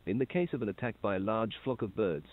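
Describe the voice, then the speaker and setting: Low flat-voiced man on a tinny line. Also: quick pace. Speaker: narrator of a horror video. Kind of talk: calm scripted instructional narration. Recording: tinny phone-like narration.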